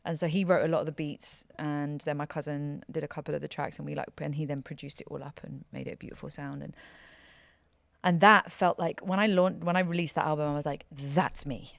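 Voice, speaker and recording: Low-voiced chatty woman. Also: brisk, gravelly, dry recording. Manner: chatty, laughing, animated storytelling. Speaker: podcast guest, singer. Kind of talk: casual interview chat.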